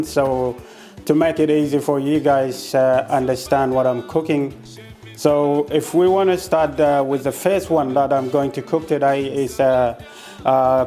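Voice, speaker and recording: A man with a South Sudanese accent and a mellow, warm voice walks through a home cooking demonstration. He speaks in a friendly, unhurried way, with frequent hesitant pauses. Soft music sits faintly behind him. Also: medium pitch. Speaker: home cook.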